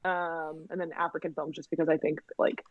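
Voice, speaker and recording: A woman's voice: low-pitched, level tone, a little fast, no room sound, phone-quality sound. A woman talking as a podcast guest in casual chat, with many fillers and restarts and frequent laughter.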